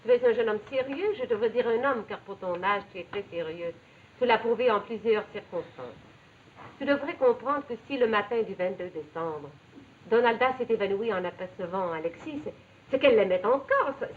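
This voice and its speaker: A woman's voice: fairly high pitch, animated, moderate pace, natural voice. An actress as pious lecturing mother, tinny recording. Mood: admonishing. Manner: formal, careful, lecturing. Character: reasoning, pious, admonishing mother figure. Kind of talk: 1950s television melodrama acting. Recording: tinny, noisy 1950s television soundtrack.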